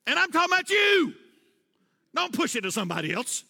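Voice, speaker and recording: High, bright-voiced pastor preaching. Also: male voice, very animated, a little fast, vocal fry. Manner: preaching with emphatic rises, loud repeated refrains. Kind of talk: sermon. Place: church sanctuary. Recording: long church reverb.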